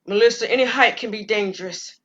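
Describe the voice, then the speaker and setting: Woman as a determined young man, reassuring leader, fairly high voice. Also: brisk, a bit bright, dull audio, close to an everyday voice. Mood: firm. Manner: firm, reassuring. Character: steady determined young man leading the hike. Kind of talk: audio drama acting.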